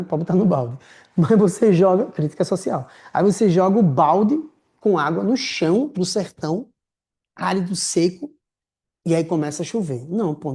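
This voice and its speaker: A man teaching a writing class in a lively, slangy, chatty way, calling his students affectionate names. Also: high-pitched, gravelly, husky. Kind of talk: casual recorded lecture.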